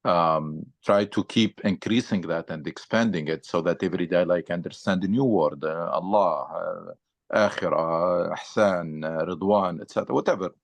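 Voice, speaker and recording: A man teaching a study session and taking questions from his listeners. His voice sits fairly low, and the recording is dry and close. He explains patiently, step by step, filling pauses with ums and uhs. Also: creaky, no room sound. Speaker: religious teacher.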